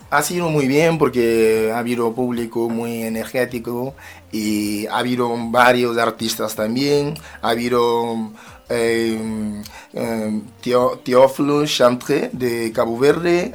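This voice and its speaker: A man's voice: mid-range voice, steady tone, slow pace. A man, a musician and rapper, speaking as an interview guest, often repeating words and phrases as he goes. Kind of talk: spoken radio interview.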